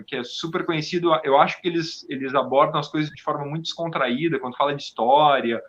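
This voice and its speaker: A man chatting casually as an interview guest, halting and repeating words as he goes. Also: mid-range voice, a bit bright. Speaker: podcast guest, audio drama maker. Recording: dull, lo-fi sound.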